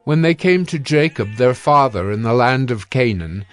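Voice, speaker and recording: A man narrating scripture in an even, measured way, over faint music. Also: mid-range voice.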